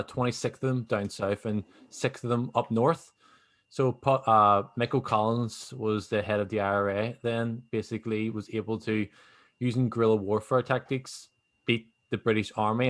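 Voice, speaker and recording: A man's voice: fairly low pitch, a bit bright. A young man with a Northern Irish accent, explaining things in a rambling, casual way and leaning on fillers like "obviously" and "kinda". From Northern Ireland. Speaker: guest. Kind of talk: casual conversation.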